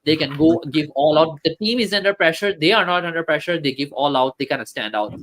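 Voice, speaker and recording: Casual male stream host, halting delivery, lots of uh and restarts. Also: a little high, a bit bright. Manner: hesitant, many fillers and restarts. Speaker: football talk stream host. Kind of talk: casual live-stream football chat, reading chat comments.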